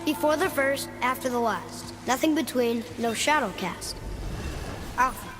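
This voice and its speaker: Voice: medium pitch, animated, measured pace, over music, natural voice. A young performer playing an earnest, hopeful and curious boy in a video game, speaking with youthful sincerity. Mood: solemn reading. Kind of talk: video game cinematic acting. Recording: long echoing hall, noisy background.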